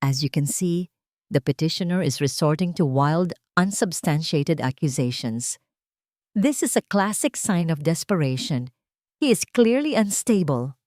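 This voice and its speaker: A woman with a Filipino accent voicing a calm, accusing male lawyer in an audio storybook. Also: low voice, dry recording, clean studio sound. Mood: calm, cutting. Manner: measured, accusing. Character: calm, cold, cutting male courtroom lawyer.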